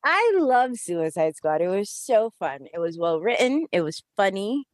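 A woman's voice: medium pitch, big pitch swings, unhurried, dry recording, clean studio sound. A woman co-hosting a podcast, chatting casually and animatedly about movies, swearing and laughing often. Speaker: podcast co-host.

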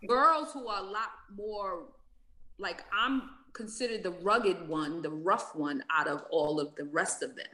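A woman's voice: fairly low pitch, a little slow, low-quality recording. A woman hosting a casual group chat, telling stories with animation. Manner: animated, storytelling.

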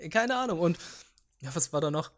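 A man's voice: sits high, a bit bright. Man reminiscing casually, native Vietnamese speaker. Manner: meandering, hesitant recollection. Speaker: podcast co-host. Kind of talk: casual chat.

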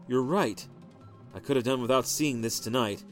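A man's voice: mid-range voice, moderate pace, faint music behind, natural voice. A man doing a volatile, accusing husband. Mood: subdued. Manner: forceful, accusing. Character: suspicious, volatile husband, explosive temper.

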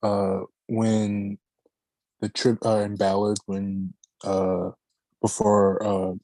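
A man with a deep voice co-hosts a casual podcast about books. His talk is conversational, and the recording is dry and close. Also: completely flat delivery, slow pace, dark tone, no room sound. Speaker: podcast co-host. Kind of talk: casual book discussion.

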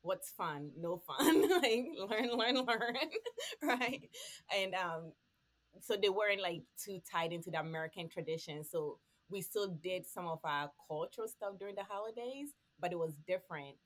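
A woman's voice: fairly low pitch, animated, normal speed. A woman from Ghana, a podcast co-host, sharing holiday memories in casual chat with frequent laughs.

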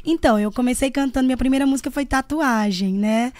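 A woman's voice: high-pitched, quick pace, over a noisy crowd. A woman singer from Brazil, a guest telling stories in casual chat at a podcast bar table. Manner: animated storyteller, quotes herself.

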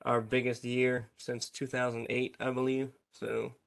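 Brief: mid-pitched man, flat monotone delivery, casual business catch-up on a call, frequent ums. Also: measured pace, creaky. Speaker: business owner on a group call. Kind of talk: casual group video call.

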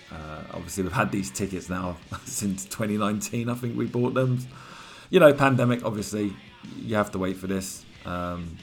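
Male podcast host, lower register, croaky voice, chatty and rambling, soft music bed. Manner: chatty, rambling, with frequent fillers. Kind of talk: casual podcast monologue.

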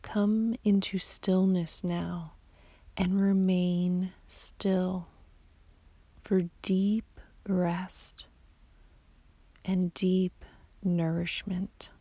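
A woman guiding a sleep meditation as a yoga nidra teacher, in a soft, whispery, soothing voice with long, unhurried pauses. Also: on the low side, little pitch movement, breathy edge, no echo. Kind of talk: slow guided sleep meditation.

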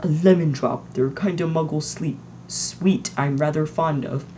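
A reader voicing a gentle, calm old male wizard with a mildly amused manner. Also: mid-range voice, normal speed, slight background noise, natural voice. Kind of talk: audiobook reading.

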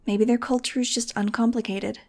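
A woman's voice: fairly even intonation, brisk, warm tone. A woman voicing a sensitive, weary young winged mutant girl who talks in a pleading, emotional way. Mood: earnest. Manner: pleading, emotional, higher-pitched.

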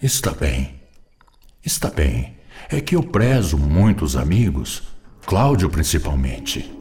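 An actor playing a gruff, sullen male spirit who is attached to his friends, in a radio drama with a grudging, halting delivery. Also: very deep voice, sing-song, mellow, heavily acted. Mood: grudging, subdued. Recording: music underneath.

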